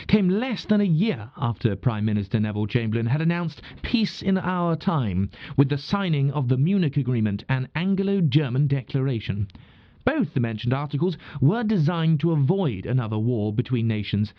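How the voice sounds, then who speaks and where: A man's voice: medium pitch, no room sound, dull audio, lightly acted. A man playing a genial wartime British radio broadcaster from 1940, speaking formally but chattily in old-fashioned phrases, with a British accent. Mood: formal, measured. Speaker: radio host. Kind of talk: scripted 1940s radio acting.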